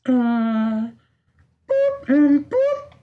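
A woman's voice: high-pitched, wildly swooping pitch, very slow, exaggerated voice. A woman voicing a snooty, stuck-up pony game show contestant with a prim manner, in muffled sound. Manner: haughty, prim.

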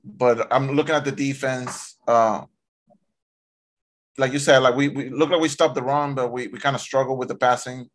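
A man's voice: medium pitch, steady tone, normal speed. A man in his thirties co-hosting a fan podcast over a video call, talking loosely and animatedly. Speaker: podcast co-host. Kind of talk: casual sports chat. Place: home room, video call.

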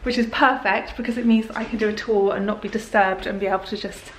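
A woman's voice: medium pitch, fairly even intonation, fast, slight background noise, low-quality recording. A young woman in her twenties, chatting casually as she films a tour of a rented house with a handheld camera. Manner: chatty, upbeat, rambling with fillers. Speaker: vlogger. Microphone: handheld camera at arm's length.

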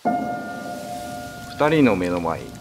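A man narrating a ghost story for a radio drama in a slow, grave storytelling manner. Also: medium pitch, wildly swooping pitch, in a large hall, music in the background.

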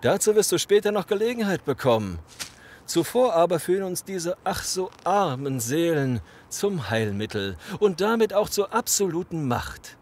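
A menacing villain boss in an audio drama. His higher-pitched voice swings theatrically, silky and commanding. Faint noise sits behind him. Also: sing-song, moderate pace, a little mellow. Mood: smug, scheming. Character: menacing male villain leader hungry for power and revenge.

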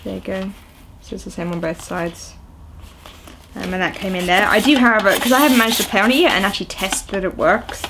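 A young woman talks casually to a camera from a small room at home, her voice sitting at an everyday middle pitch. She rambles through an unboxing with plenty of ums and uhs, and a faint hiss sits under the recording. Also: brisk. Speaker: video host. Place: small indoor room at home, seated on a sofa.

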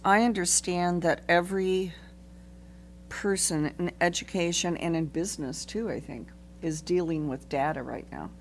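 A middle-aged woman at a school board meeting, asking measured questions into a desk microphone. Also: fairly low pitch, a little hiss or hum.

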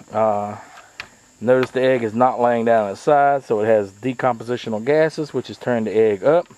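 A man's voice: mid-range voice, a little mellow, dry recording, muffled recording. Middle-aged guy, folksy and chatty, casual backyard how-to, dry outdoor camera-mic sound. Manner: folksy, chatty, with frequent uh fillers. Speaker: small farm owner demonstrating.

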